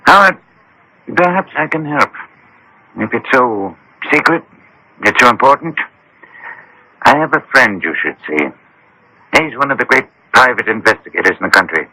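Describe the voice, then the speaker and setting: Sharp-voiced, probing physician. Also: male voice, mid-range voice, animated, normal speed, pauses often, heavily acted, rough recording. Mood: persuasive. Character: probing, persuasive male physician, genial and measured. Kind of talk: 1980s radio drama acting. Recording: old radio drama broadcast.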